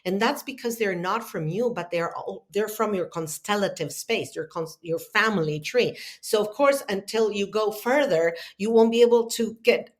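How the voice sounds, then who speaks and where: A woman from Argentina, a guest on an interview podcast who explains at length in an earnest, heartfelt way, pausing and restarting as she thinks aloud. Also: mid-range voice. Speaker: podcast guest, healing facilitator. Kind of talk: conversational interview, long explanations.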